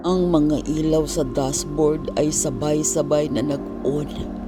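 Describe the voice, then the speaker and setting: A horror storyteller reads scripted narration slowly and with suspense. Dramatic pauses break up the phrases, some of them hushed, and music plays clearly behind the voice. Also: mid-range voice, fairly even intonation.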